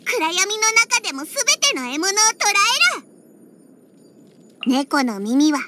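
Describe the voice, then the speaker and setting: A woman's voice: very high voice, sing-song, measured pace, background noise, full character voice. A woman voicing a feisty little catgirl in an anime game, with a childlike, cutesy voice and a huffy, pouty manner. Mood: bashful, proud. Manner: pouty, huffy, with cat-like verbal tics. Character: feisty little catgirl bartender who hates alcohol. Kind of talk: Japanese anime game character acting. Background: background music.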